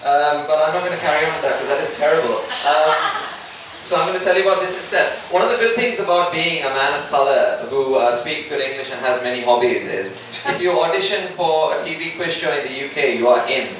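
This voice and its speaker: A man's voice: a little high, animated, quick pace, fairly bright tone. A man from Sri Lanka doing a conversational, self-deprecating stand-up comedy routine. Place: indoor live comedy event. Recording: very echoey room, distant mic, background noise.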